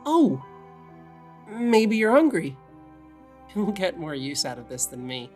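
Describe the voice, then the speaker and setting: A woman voicing a gentle, curious young male witch with a soft, casual way of talking in an audio drama. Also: on the low side, very animated, slow pace, soft background music. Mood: gentle, reassuring. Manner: soft-spoken, kindly, casual. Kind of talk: audio drama character acting.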